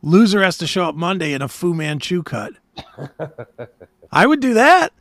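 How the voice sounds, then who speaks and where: High-pitched, animated man arguing sports. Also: unhurried, dry recording. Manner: blunt, argumentative, opinionated. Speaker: sports talk show co-host. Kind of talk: casual sports banter.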